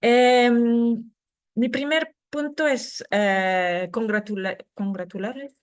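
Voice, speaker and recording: A woman's voice: fairly high pitch, steady tone, slow, clean tone. A woman speaking Spanish as a second language, giving a conference talk over a video call, with many fillers and restarts. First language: not Spanish. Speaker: secretary general of a human rights NGO.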